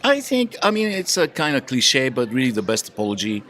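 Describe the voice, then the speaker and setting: A man's voice: mid-range voice, huge pitch swings, brisk, bright, forceful tone, a little hiss or hum. A man chatting casually as a podcast panelist in a wry, joking way. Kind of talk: casual group chat.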